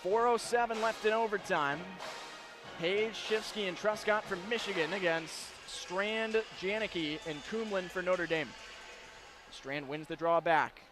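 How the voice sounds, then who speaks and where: A man doing live radio play-by-play commentary of ice hockey, narrating the action in crisp detail from an arena. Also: high-pitched, measured pace, over music. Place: broadcast position in an indoor hockey arena. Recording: live broadcast with clear arena noise.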